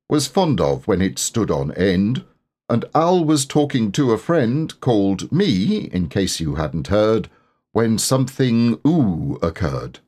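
A man voicing a gentle, musing storybook bear who speaks earnestly and hesitantly, as if composing verse. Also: lowish voice, heavily acted. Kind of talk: children's storybook character reading.

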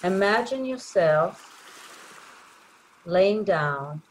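A woman leading a guided meditation in a gentle, soothing way, leaving long pauses between short phrases. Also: mid-range voice, animated, a little hiss or hum, rough recording.

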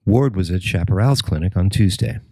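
A man's voice: low-pitched, animated, brisk, darkish tone, no echo, clean studio sound. An American man reading a scripted local news report as the narrator.

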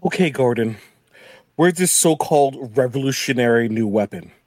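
An impatient senator played by a man in a radio play. He is demanding, and his pitch jumps around with lively force. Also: normal speed, lightly acted. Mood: impatient, scornful. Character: impatient, demanding male senator, greedy and gruff. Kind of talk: live radio theatre script reading.

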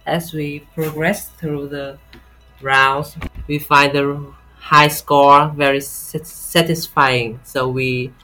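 A Vietnamese-accented man speaks as a podcast guest in a halting, unhurried way. His voice is bright and sharp, with a little music in the background. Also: on the high side, little pitch movement, rough recording. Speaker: podcast guest, software tester. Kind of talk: casual interview talk.

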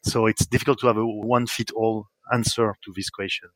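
French-accented man, hesitant and low. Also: level tone, fairly quick, dry recording, rough recording. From France. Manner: hesitant, many restarts and fillers. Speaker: guest, software company executive. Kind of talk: podcast interview answers.

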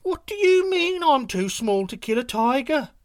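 Man voicing a squeaky scornful little deer, bragging, storybook trickster, dry close sound. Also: normal speed, fairly bright tone, no room sound. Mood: boastful. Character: tiny boastful male spotted deer trickster.

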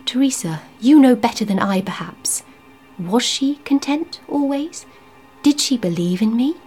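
A woman reading the part of a troubled, grieving widower in an audiobook ghost story, anxious and earnest, sometimes dropping to a hush. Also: on the high side, expressive, a little slow, slightly rough, faint music behind. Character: troubled grieving widower, man, middle-aged, uneasy.

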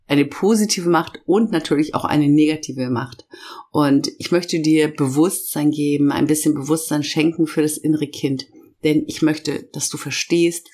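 A middle-aged woman hosting a self-help podcast, her voice hoarse from a cold, speaking slowly and emphatically as she lists feelings and addresses the listener directly. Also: deep voice, a little mellow. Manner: slow, emphatic listing of feelings. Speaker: podcast host and inner-child coach. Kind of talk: warm guided podcast talk.

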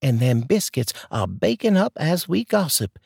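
A chatty, kooky neighbor lady with a thick Southern drawl, as a male narrator puts her on, her voice pushed way up high. She rambles on in a folksy way. The sound is dry and a little husky. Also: big pitch swings, normal speed, darkish tone, dry recording, full character voice. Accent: put-on Southern drawl. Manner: folksy, talks your ear off.

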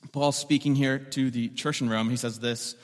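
A man's voice: medium pitch, fairly even intonation, normal speed, big-room sound. A man preaching a sermon as the pastor, conversational and joking, often repeating words as he restarts a thought.

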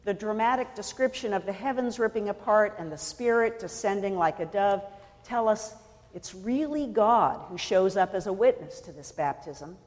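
A woman from California preaching a sermon in a measured, deliberate way, pausing for emphasis. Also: medium pitch, a little mellow, in a large hall. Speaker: pastor.

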